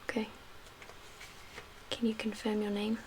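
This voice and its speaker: A woman speaking in a hushed, breathy whisper with measured pauses, performing an ASMR roleplay as a calm, guarded survivor-camp medical official. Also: mid-range voice, rough recording. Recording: audible background hiss and ambience.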